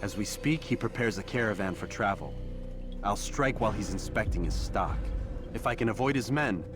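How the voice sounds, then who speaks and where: An actor playing a cool, confident assassin, terse and matter-of-fact, in a video game. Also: male voice, fairly low pitch, animated, normal speed, lightly acted. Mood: cool, businesslike. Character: cool, confident male assassin reporting on his target. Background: game music.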